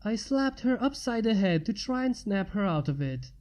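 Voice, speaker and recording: A woman's voice: medium pitch, big pitch swings, normal speed, a little mellow. A woman narrating fiction aloud as a dramatic storyteller.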